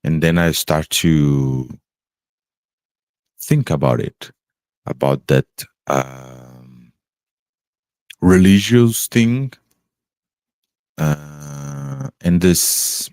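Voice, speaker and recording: A man, an English speaker, talking slowly and haltingly about spiritual experiences on a podcast, pausing often to search for words. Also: rumbling low, creaky, a little mellow, clean tone, no room sound, studio quality. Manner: halting, searching for words, many pauses and fillers. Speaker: podcast co-host. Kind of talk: slow reflective spiritual chat.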